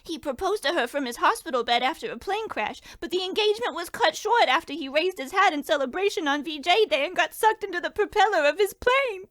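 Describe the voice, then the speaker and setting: A man's voice: very high-pitched, animated, fairly quick, few pauses, a bit bright. A man voicing a demure young woman client, coy and primly gossipy, in a parody of 1940s radio detective drama. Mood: coy, confiding.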